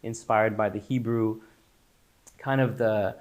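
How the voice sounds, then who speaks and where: A man's voice: on the low side, steady tone, takes it slowly. A man born in Israel and raised in Los Angeles, a company founder chatting as an interview guest in a loose, searching way with frequent restarts. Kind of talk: casual interview chat.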